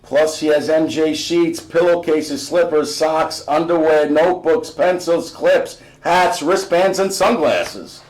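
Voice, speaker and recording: A man reading a novel in verse aloud in an expressive, storytelling way. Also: fairly high pitch, slow pace, hardly any pauses, poor audio. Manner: expressive, acts out dialogue between family members. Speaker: reader from a school community.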